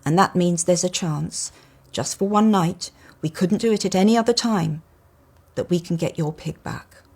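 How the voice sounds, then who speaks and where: A woman's voice: fairly low pitch, normal speed, darkish tone. A woman voicing a male toy pig in a storybook, earnest and matter-of-fact, explaining things in a firm, direct way. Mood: brisk explaining.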